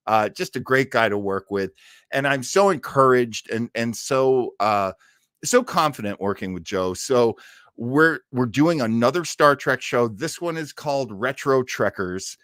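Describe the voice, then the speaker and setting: Animated man hosting a casual livestream. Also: medium pitch, dry recording, clean studio sound. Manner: rambling, halting, searching for words; enthusiastic. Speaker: host of an old time radio show livestream. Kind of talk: casual, chatty livestream introduction.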